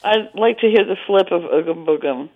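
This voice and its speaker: A woman's voice: lowish voice, normal speed, narrow, tinny audio. A woman calling in to a radio show to request a song, hesitant and often restarting her sentences. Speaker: caller. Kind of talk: phone-in request call.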